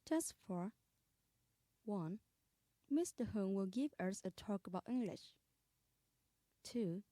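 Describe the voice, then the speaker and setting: A woman's voice: medium pitch, warm tone, no room sound. A woman reading beginner English textbook exercises in a plain, careful way. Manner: plain, careful dictation of items. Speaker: English listening-practice reader.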